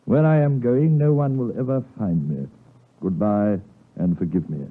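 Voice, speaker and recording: An actor playing a stern, loyal British gentleman lawyer in a 1950s radio drama, formal, blunt and emphatic. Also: on the low side, normal speed, close to an everyday voice. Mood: somber reading. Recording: muffled, lo-fi 1950s radio broadcast.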